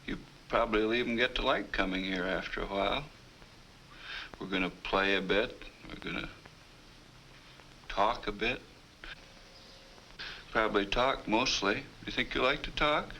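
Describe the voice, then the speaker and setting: A man playing a calm, reassuring psychiatrist in a 1950 educational film, speaking in a patient, measured way. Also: lowish voice. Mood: friendly, coaxing. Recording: noisy vintage 1950 film soundtrack.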